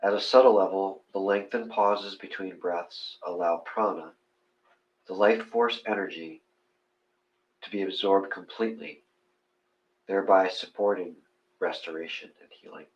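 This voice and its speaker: Very low-pitched man reading meditation, muffled sound. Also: fairly even intonation. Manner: gentle, measured, phrase by phrase. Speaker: meditation reader. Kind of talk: scripted guided meditation reading. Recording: dull, lo-fi sound.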